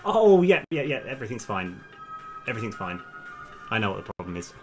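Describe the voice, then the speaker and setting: A man's voice: on the low side, big pitch swings, fairly quick, soft background music. A man doing casual live-stream commentary as a game developer, thinking aloud in a hesitant, self-correcting way.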